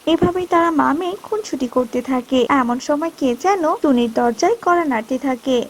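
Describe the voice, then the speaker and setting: Female cartoon narrator, scripted storytelling, very high pitch. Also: lively intonation, a little fast.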